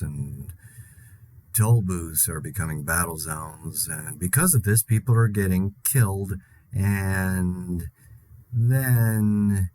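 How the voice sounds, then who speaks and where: An actor plays an anxious man broadcasting alone through a zombie apocalypse in an audio drama. His voice sits fairly low. He talks in a conversational, confessional way, rambling and halting, with a dry sardonic edge. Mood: wry, weary. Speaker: podcast host in a zombie-outbreak audio drama.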